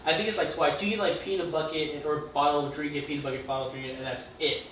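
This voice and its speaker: A man in casual chat who fires off quick questions and joking asides. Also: fairly high pitch, fairly even intonation, a bit bright. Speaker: podcast co-host. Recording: very echoey room, distant mic, faint noise.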